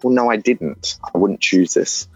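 A man with an Australian accent speaks slowly and earnestly, stumbling over and restarting his phrases as he reflects. His voice is fairly low. The recording sounds dull and dry, with faint music underneath. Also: slightly rough, no echo. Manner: halting, earnest, with frequent restarts. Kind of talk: reflective personal testimony.

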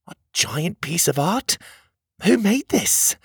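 A man voicing a curious, level-headed male adventurer in a storybook, asking questions calmly. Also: high-pitched, takes it slowly, vocal fry, slightly rough, no echo, clean studio sound, pitched above the performer's own voice, full character voice.

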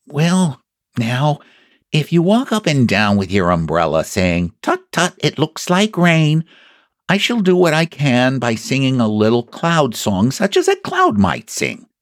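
Guy doing a little toy bear from a kids book, slow n solemn, earnest schemer, voice lifted up a bit. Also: mid-range voice, huge pitch swings, dry recording, clean studio sound, voice pushed up. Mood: earnest, plotting. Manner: ponderous, deliberate, solemnly earnest. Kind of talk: children's storybook character voice.

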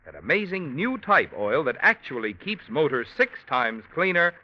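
Lively male announcer on muffled old radio. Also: on the high side, normal speed. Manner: polished, persuasive. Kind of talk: 1940s radio commercial announcing. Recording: dull vintage radio recording.

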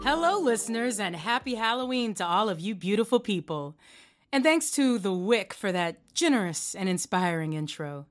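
A woman's voice: mid-range voice, sing-song, moderate pace, faint music behind, close to an everyday voice. An actress playing a wry late-night radio host, presenting to her listeners. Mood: cheerful, teasing.

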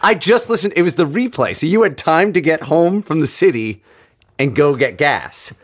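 Lively man in casual chat, swinging intonation. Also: fairly high pitch, moderate pace, dry recording, studio quality. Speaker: podcast co-host.

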